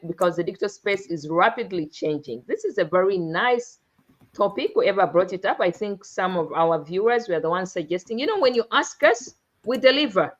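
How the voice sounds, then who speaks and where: A woman from Uganda hosting a live online talk show, with an exuberant, drawn-out emphatic delivery. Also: medium pitch. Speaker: host. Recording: dull lo-fi online stream audio.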